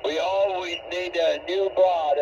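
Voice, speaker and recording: Old Finnish ham operator, male, higher-pitched, muffled through radio static. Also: steady tone, slow, vocal fry, crowd noise. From Finland. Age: 70s or older. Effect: shortwave radio transmission. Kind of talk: ham radio contact chat. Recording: noisy muffled shortwave radio signal.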